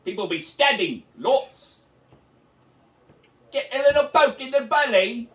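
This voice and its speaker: A man's voice: extremely high pitch, lively intonation, slow pace, fairly bright tone, roomy sound, far from the mic, exaggerated voice. A man doing a rowdy British bloke. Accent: put-on British. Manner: rowdy, crude, mocking. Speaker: podcast co-host. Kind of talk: improvised comedy bit.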